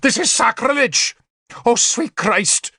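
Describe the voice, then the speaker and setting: A man's voice: sits high, unhurried, a bit bright, no room sound, clean studio sound, pitched above the performer's own voice. A man voicing an indignant, pleading, melodramatic bishop in an audiobook story. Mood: horrified outrage. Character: shrill, sly, hysterically pious male bishop.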